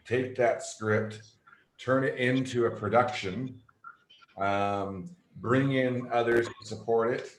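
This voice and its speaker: A man's voice: on the low side, slight background noise. Enthusiastic man host in an echoey room. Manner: enthusiastic, gushing. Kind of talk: casual video interview.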